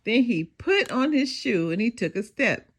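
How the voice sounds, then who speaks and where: A woman reading a children's story aloud in an animated, deliberate and expressive narration. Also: fairly high pitch, breathy edge.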